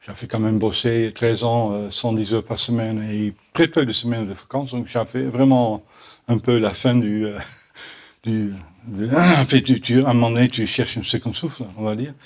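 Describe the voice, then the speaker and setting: A middle-aged man from the Netherlands with a Dutch accent, answering audience questions at a conference in halting French full of restarts. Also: mid-range voice, darkish tone. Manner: halting, many restarts and repeated words. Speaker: botanical garden owner answering questions. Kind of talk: Q&A after a conference talk.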